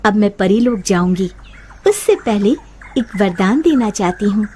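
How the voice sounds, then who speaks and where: A woman voicing a gentle, grateful fairy in a children's cartoon story, gracious and smiling. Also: mid-range voice, expressive, a little slow, husky. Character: gentle, grateful female fairy granting a boon.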